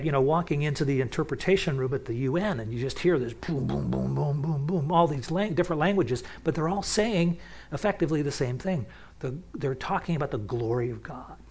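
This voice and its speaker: A man teaching from the Bible in a relaxed, conversational way, often restarting sentences and picking up energy as he warms to a point. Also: on the high side. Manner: casual, with many restarts and asides. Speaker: pastor.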